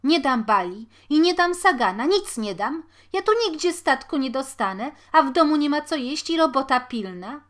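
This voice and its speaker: A woman reading an audiobook, voicing a poor, harried washerwoman and mother who talks loudly and laments. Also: lively intonation, normal speed, close to an everyday voice. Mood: despairing. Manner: loud, high, lamenting and scolding. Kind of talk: audiobook character voice.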